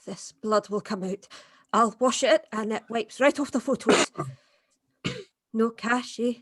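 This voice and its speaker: A woman's voice: fairly high pitch, unhurried, a bit bright, no room sound, studio quality. An actress playing a tough, pragmatic, wry woman accomplice, plain-spoken and firm, in a dramatic script read-through. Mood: quiet, wistful.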